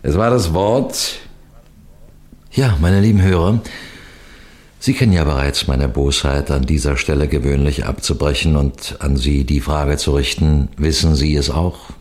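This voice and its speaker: A shrewd police detective in a 1960s radio play. His voice is very deep and measured. He probes politely, teases gently and narrates his own case with genial authority. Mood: sly. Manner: measured, probing, gently teasing.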